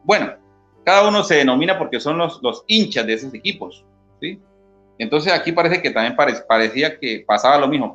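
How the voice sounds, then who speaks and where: A man's voice: mid-range voice, fairly bright tone. Teacherly man reading scripture. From Colombia. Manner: teacherly, reads scripture aloud, asks "¿sí?" often. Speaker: interview guest, former church member. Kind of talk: explaining Bible passages in an interview. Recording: dull lo-fi audio with faint guitar music.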